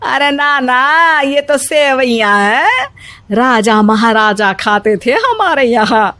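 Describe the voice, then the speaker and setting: A woman acts out a middle-aged Indian mother-in-law from an animated tale. The character is doting and opinionated, explaining things with fond exclamations. The voice is fairly high and slow-paced, recorded dry. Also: no room sound, heavily acted. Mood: proud, instructive. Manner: exclamatory, indulgent, instructive. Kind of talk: Hindi animated story acting.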